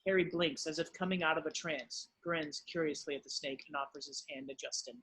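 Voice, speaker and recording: A man giving scripted narration, reading the stage directions of a drama in a husky voice. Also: a little high, fairly even intonation, a little fast, bright, forceful tone, a little hiss or hum, low-quality recording.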